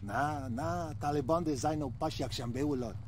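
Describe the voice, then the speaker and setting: A man's voice: fairly high pitch, normal speed, a little mellow, a little hiss or hum, natural voice. An actor playing a casual, dismissive Afghan local militiaman in a military video game. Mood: guarded, casual.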